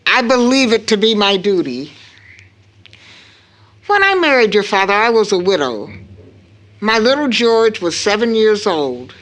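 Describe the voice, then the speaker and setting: An actress playing a grave, anguished middle-aged mother in an audio drama, speaking in a solemn, deliberate and emphatic way. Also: mid-range voice, big pitch swings, fairly bright tone. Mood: solemn, intense recounting. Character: grave, anguished mother baring a long-kept family secret. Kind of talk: stage audio drama acting.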